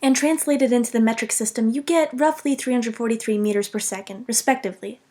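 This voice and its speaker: A woman's voice: on the high side, fast, darkish tone. A young woman narrating a scripted, humorous video game commentary in a self-deprecating, mock-dramatic way.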